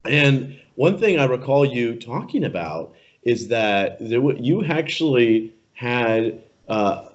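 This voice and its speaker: A young man hosting a casual interview, chatty and quick to laugh, rephrasing his questions as he goes. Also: medium pitch, very animated. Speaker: interviewer host. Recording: muffled lo-fi recording.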